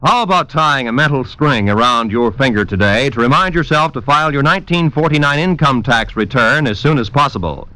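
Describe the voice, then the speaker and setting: Bright, lively male radio announcer. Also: medium pitch, a little fast, few pauses, poor audio. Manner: polished, formal announcer delivery. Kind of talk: 1940s radio announcing, scripted.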